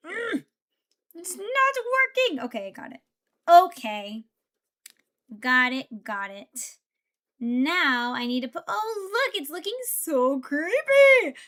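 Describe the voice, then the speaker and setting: A woman's voice: high voice, sing-song, slow pace, fairly bright tone. A young woman streamer chatting casually while building Lego, thinking aloud and answering her chat. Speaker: VTuber streamer.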